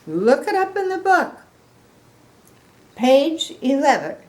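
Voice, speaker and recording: A woman's voice: high voice, big pitch swings, slow pace, a little mellow, voice pushed up, full character voice. A woman voicing an earnest, unsure but willing boy elephant, speaking in simple, emphatic declarations for a children's picture book. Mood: doubtful, insistent. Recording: echoey room.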